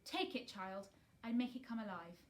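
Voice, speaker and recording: Woman playing a weary, emphatic gentleman guardian. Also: mid-range voice, moderate pace, close to an everyday voice. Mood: softened, tired.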